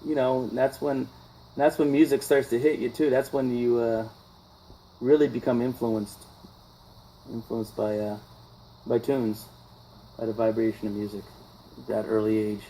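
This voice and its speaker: Male musician, fifties, medium pitch, casual interview guest; thoughtful, halting delivery with frequent restarts. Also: little pitch movement, mellow, slight background noise, poor audio. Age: 50s. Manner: halting, reflective, often restarts phrases. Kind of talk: casual radio interview chat. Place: radio broadcast session.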